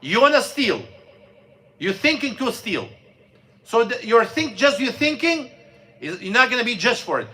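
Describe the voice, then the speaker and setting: High bright-voiced man teaching a class. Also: sing-song, normal speed, long pauses. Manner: plain, repetitive explaining with restarts, asks the class questions. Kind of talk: informal religious lesson. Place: mosque classroom.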